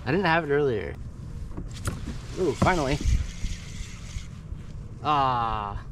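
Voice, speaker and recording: A man's voice: medium pitch, takes it slowly, noisy background. A man with a groggy voice, chatting casually as he fishes from a kayak out on the water. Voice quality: groggy morning voice. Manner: relaxed, upbeat, chatty. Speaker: fishing vlogger. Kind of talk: casual running commentary while fishing. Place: outdoors on a kayak at sea.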